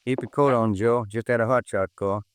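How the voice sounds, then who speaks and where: A man playing a plain-spoken American police detective, a dutiful partner in a 1950s radio drama, casual and brisk. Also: medium pitch, fairly even intonation, lightly acted. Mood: businesslike. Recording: noisy old radio recording, clicks.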